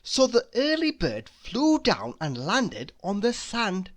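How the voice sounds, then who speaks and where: A woman reading a children's story aloud, deliberately and with dramatic emphasis. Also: mid-range voice, wildly swooping pitch. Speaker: storybook reader. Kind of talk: expressive children's read-aloud narration.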